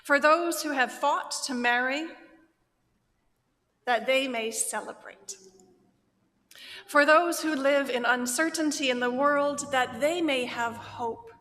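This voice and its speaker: A woman slowly reading a solemn chalice-lighting litany in a church service, with deliberate phrasing. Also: sits high, fairly bright tone. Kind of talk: slow solemn liturgical reading. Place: church sanctuary. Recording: long hall reverb.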